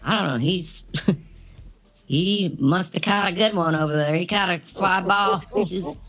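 A man with a pitch-shifted voice doing crude comedy banter as a podcast co-host, with a mock weatherman delivery. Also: a little slow, bright, forceful tone, faint music behind.

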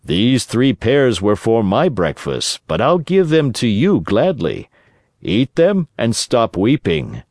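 Man acting a kindly old father, lively pitch. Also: slow pace, no room sound, studio quality, exaggerated voice. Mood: tender. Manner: gentle, earnest, moralizing.

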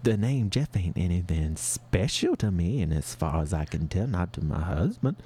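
Brief: man in falsetto as a fussy housewife caller, breathy and prim, put-on regional accent, swooping pitch, mellow tone. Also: animated, moderate pace. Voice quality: high put-on voice with breathy whispers. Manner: dramatic, prim, full of double meanings. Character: fussy married woman, flustered, prim, middle-aged. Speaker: advice-show caller. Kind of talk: improvised comedy sketch.

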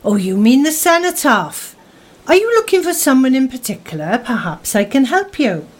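A woman acting in a radio drama as a curious, helpful stranger, inquisitive and deliberate. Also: sits high, sing-song. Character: curious, helpful stranger meeting a man at a war memorial.

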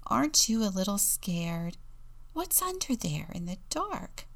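Small female penguin chick, played by a woman, shy yet determined, animated pitch, storybook reading. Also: unhurried, gravelly, full character voice. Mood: timid, whispering. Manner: earnest, pleading, often whispering.

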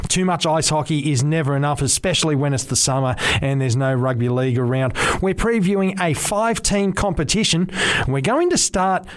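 An Australian man hosting a radio sports show, asking long, chatty questions with a joking edge. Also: on the high side. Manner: jokey, chatty, rambling questions. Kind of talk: casual radio interview.

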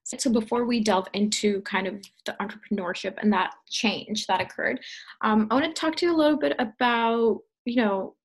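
Chatty woman host, slightly husky voice. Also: a little high, normal speed. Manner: friendly, chatty, many fillers. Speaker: podcast co-host. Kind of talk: interview conversation.

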